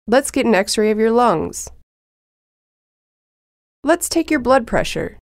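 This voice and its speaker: Woman, lesson narrator, slow careful reading, animated pitch. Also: no echo, studio quality. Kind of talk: slow, clear phrase reading for learners.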